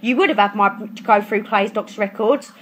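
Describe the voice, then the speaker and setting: A woman's voice: medium pitch, brisk, slight background noise. A young adult woman talking on a phone call in a blunt, challenging way. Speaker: client of social services, a mother. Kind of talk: phone conversation.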